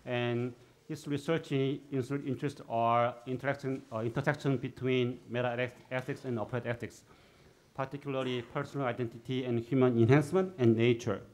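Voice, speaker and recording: A man's voice: medium pitch, steady tone, brisk, darkish tone, poor audio. Hesitant man introducing a speaker. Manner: hesitant, with many fillers. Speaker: moderator.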